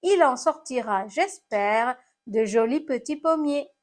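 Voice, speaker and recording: A woman voicing a battered apple from a children's poem, plaintive yet gently generous. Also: high voice, lively intonation, takes it slowly. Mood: resigned, generous.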